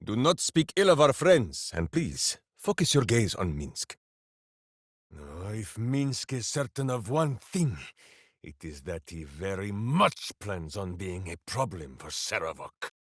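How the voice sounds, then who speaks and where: A man's voice: fairly low pitch, very animated, a little slow, husky, dry recording, clean studio sound, full character voice. A man playing a dim, big-hearted warrior hero in a video game, boisterous and theatrical, speaking of himself in the third person. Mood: earnest, simple-hearted. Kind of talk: video game character voice lines.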